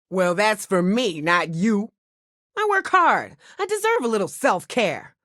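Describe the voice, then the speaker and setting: A woman's voice: medium pitch, fairly bright tone, no room sound. A sassy working mom from a game, worn thin but dryly indulgent. Her delivery bounces up and down, close and dry. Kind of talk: video game character acting.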